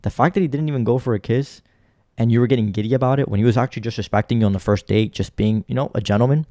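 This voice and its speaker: A man's voice: medium pitch, racing, dark tone, dry recording. A man reacting to a clip in blunt commentary, lecturing and repeating his points for emphasis.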